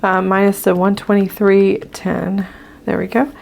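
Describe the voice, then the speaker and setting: A woman with a hoarse voice, casually walking viewers through her budget sheets as a channel host, with frequent ums as she writes. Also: mid-range voice, little pitch movement, a little slow, a little mellow. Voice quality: gravelly. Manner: chatty with frequent ums, talking while writing.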